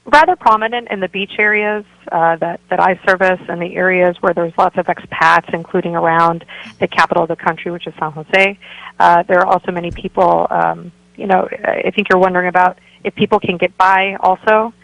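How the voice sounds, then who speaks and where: A woman's voice: on the low side, steady tone, brisk, vocal fry, a bit bright, no echo, rough recording. A woman working as a real estate broker, answering interview questions in a friendly, informative way as a guest. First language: bilingual Spanish and English. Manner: friendly, informative, laughs easily. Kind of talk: conversational radio interview answers.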